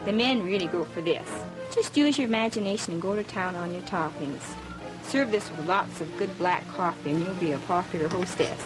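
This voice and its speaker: A woman's voice: fairly low pitch, fairly quick, rough recording. A woman with a southern twang presenting a television cooking demonstration in a prim, instructive manner, from an old archive film. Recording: old archive TV audio with music.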